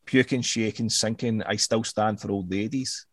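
A man's voice: fairly low pitch, steady tone, normal speed, no echo. A man, casually talking through an album as a podcast co-host, rambling and swearing, repeating words as he goes.

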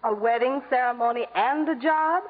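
A woman's voice: on the high side, normal speed, natural voice. Woman playing a tinny-sounding, sardonic radio dame. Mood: skeptical, sardonic. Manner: dry, sardonic. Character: skeptical, wisecracking nightclub singer girlfriend. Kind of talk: 1950s radio comedy acting. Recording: tinny, hissy old radio broadcast.

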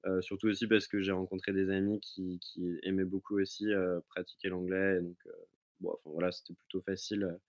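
Deep-voiced young man, rambling guest. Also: little pitch movement, a little fast, darkish tone. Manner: rambling, many fillers and restarts. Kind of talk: casual remote chat. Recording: remote call audio.

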